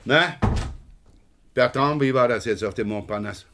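A man's voice: mid-range voice, unhurried, background noise, natural voice. Guy acting a police chief in a radio crime play, mid voice, bossy, keeps probing. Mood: brisk, surprised. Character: male French police chief inspector, probing and commanding.

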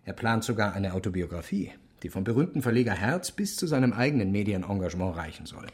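An actor playing a big, fussy and eager association official in a radio drama, with a voice that is not deep and a hesitant, chatty manner. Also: male voice, expressive, fast, lightly acted. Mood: self-important. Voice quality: voice not deep despite bulk.

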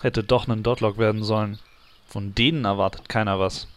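A man's voice: on the low side, fairly even intonation, normal speed, lightly acted. An actor playing an irritable, curt mage who grumbles his way through a comedy audio play. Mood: grumbling, fed up. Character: grumpy, put-upon male mage in an online game. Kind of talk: radio comedy acting. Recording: loud background noise.

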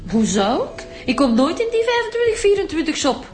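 A woman's voice: very high-pitched, very animated, a little fast, darkish tone, soft background music. A woman playing a haughty, aloof wife and hobby perfumer in a radio drama. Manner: cool, condescending. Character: haughty, aloof wife who distills essential oils.

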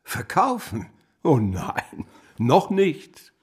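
Casting: jovial gentleman investor, husky, swooping intonation, effusive flatterer, period radio play. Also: a little high, drawn-out words, full character voice. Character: jovial, ingratiating society gentleman investor, glibly loyal. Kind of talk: German radio drama acting, historical.

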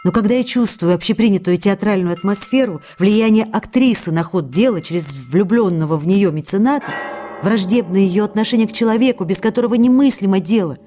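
Soft music sits faintly behind an actress as a young wife writing to her husband. Her voice is mellow and mid-pitched. She is affectionate and anxious, reading her intimate letter tenderly and earnestly, as in a radio play. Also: lightly acted.

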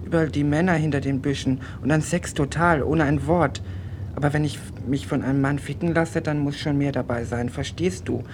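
A man's voice: steady tone, brisk, close to an everyday voice. Man playing a naive, rambling teenage boy, noisy recording. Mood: earnest, naive. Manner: rambling, apologetic, earnest. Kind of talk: German radio play dialogue. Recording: noisy, rumbling radio recording.

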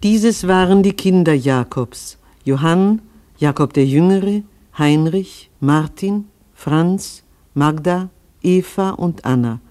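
An actor playing a solemn chronicler who recites a family history like scripture in a radio play. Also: male voice, high voice, slow pace, dark tone. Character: solemn biblical-style chronicler of a settler family.

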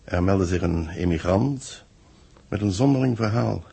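Deep, gravelly, grave official. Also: male voice, warm tone, full character voice. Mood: earnest, explaining. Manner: measured, formal, expository. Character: grave, deliberate male space research official. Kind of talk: 1960s radio drama acting.

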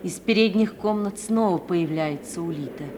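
A woman's voice: fairly low pitch, normal speed. A woman narrator reading scripted stage directions for a 1950s Russian radio play. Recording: faintly hissy 1950 radio recording.

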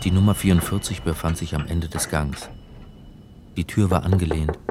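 A man playing a hapless religion lecturer who narrates a crime radio drama, dry and wry, halting in dialogue. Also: low-pitched, fairly even intonation, very noisy, close to an everyday voice. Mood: wry, matter-of-fact. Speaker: narrator and lead character.

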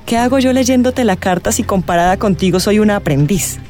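A woman's voice: mid-range voice, expressive, close to an everyday voice. An actress playing an eccentric, gushing and flirtatious witchy astrologer in a radio drama, with dramatic, suspenseful phrasing. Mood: awed, dramatic. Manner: dramatic, suspenseful drawn-out delivery with whispers. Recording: music playing behind the voice.

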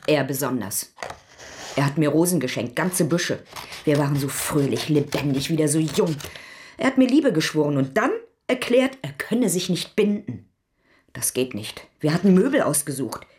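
An actress playing a wounded, proud former dominatrix in a radio drama, jilted and set on revenge. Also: female voice, low-pitched, very animated, moderate pace, a little mellow, slight background noise. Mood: bitter, heartbroken.

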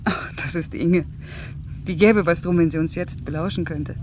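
A woman's voice: lowish voice, fairly even intonation, normal speed, noisy background, natural voice. An actress playing a determined, outspoken young working mother and factory worker in a German radio play. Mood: teasing, reproachful. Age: young adult. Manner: assertive, outspoken.

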